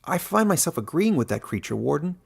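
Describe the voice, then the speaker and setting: A man's voice: a little high, big pitch swings, fairly quick, creaky, slightly rough, pitched above the performer's own voice, full character voice. A man voicing an earnest, bewildered young villager in exile, protesting, in a fantasy story. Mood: polite, doubtful. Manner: stammering at times, protesting.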